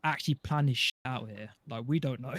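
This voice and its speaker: A man's voice: mid-range voice, normal speed, slightly rough, no echo. A young man giving casual opinions as a panelist on a podcast. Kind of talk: casual chat.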